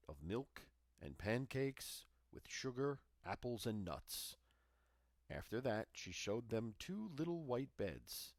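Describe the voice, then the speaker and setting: A man's voice: fairly low pitch, darkish tone, no echo, clean studio sound. A man reading a fairy tale as a storyteller, in a dramatic scripted narration.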